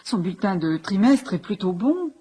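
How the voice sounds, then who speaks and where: A woman's voice: medium pitch, very animated, moderate pace, warm tone, husky. An actress playing a distressed, protective middle-aged mother in a radio drama, plaintive and defensive. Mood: worried, earnest. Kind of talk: naturalistic French radio drama acting.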